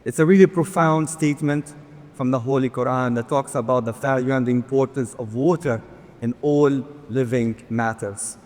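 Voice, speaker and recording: A man's voice: medium pitch, normal speed, a little mellow. A man giving a conference presentation, earnest with touches of light humor, asking for the next slides. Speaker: conference speaker. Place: large conference hall. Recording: long hall reverb.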